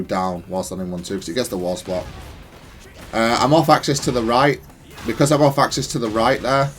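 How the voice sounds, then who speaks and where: Male gamer commentator, fairly deep, analytical and matter-of-fact, talking through plays over quiet background music. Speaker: gamer explaining own match replay.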